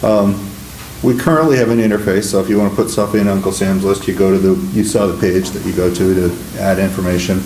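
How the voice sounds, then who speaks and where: A man's voice: sits low, steady tone, fairly quick, a little mellow, a little hiss or hum. A man presenting at a government conference, answering audience questions with many fillers and restarts. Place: conference room. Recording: echoey room.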